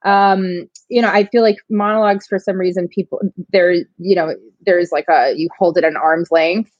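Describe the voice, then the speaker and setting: A woman's voice: on the low side, moderate pace, dry recording, highs cut off. A woman from New York, an acting coach, chatting casually as a guest with lots of fillers. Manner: relaxed, many fillers and restarts. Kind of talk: casual interview chat.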